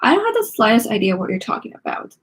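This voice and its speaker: A woman's voice: a little high, big pitch swings, fast, breathy edge, full character voice. A woman voicing a snobby, taunting mean schoolgirl from a storybook. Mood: evasive. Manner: haughty, taunting.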